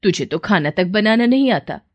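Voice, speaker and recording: A woman's voice: medium pitch, animated, normal speed, lo-fi sound, lightly acted. A woman voicing a nagging, bitter old mother-in-law. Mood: scornful. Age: older. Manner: scolding, taunting. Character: bitter, nagging elderly Indian mother-in-law, always scolding.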